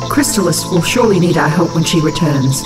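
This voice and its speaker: A woman's voice: sits low, lively intonation, a little fast. Woman as a commanding changeling schemer in echo. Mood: satisfied, scheming. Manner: formal, commanding. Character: commanding, calculating changeling mother, villain henchwoman. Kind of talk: animated cartoon voice acting. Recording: roomy echo under loud music.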